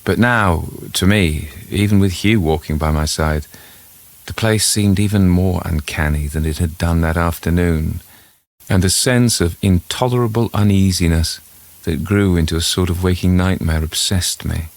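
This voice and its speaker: A man reading a classic ghost story in the first person, with a measured literary delivery. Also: low voice.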